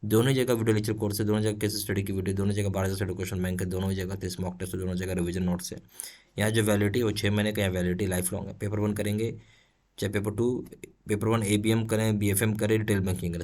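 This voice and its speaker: A man's voice: lowish voice, level tone, rapid-fire, darkish tone. A man with an Indian accent giving an explanatory exam lesson, reading questions aloud and often checking that listeners follow. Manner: instructional, checks in with frequent tag questions. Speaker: exam coaching teacher.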